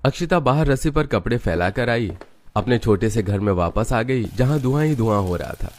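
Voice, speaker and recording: A man's voice: medium pitch, lively intonation, normal speed, soft background music. A man narrating a scripted cartoon family story as its storyteller.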